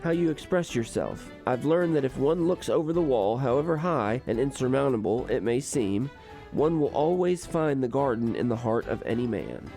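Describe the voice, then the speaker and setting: A man playing a genial, erudite college gentleman in a comedic radio drama, speaking formally and philosophically. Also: medium pitch, animated, vocal fry, soft background music. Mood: gently philosophical. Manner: genteel, measured, formal wording. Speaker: student radio drama actor.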